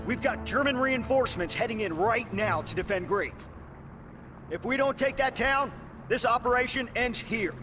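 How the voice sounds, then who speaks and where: A man's voice: very high-pitched, animated, normal speed, over music. An actor playing a stern army lieutenant briefing his men with urgent authority in a war game. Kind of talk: video game war drama acting.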